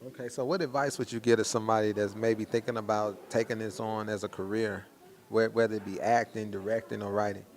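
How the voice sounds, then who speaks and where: A man's voice: fairly low pitch, little pitch movement, a little fast, a little mellow. A man hosting a casual promotional interview, talking in an informal, slangy, hyped-up way. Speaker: host and interviewer.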